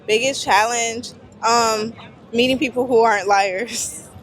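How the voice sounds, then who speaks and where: A woman's voice: a little high, fairly even intonation, measured pace, slight background noise. A woman answering questions in a street interview outdoors, blunt and offhand. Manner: blunt, offhand, laid-back. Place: outdoor festival.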